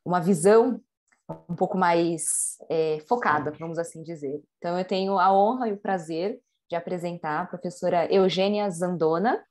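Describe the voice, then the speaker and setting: A woman's voice: on the low side, moderate pace, darkish tone. A woman co-hosting a podcast who introduces the guest in a casual, slightly hesitant way. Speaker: podcast co-host.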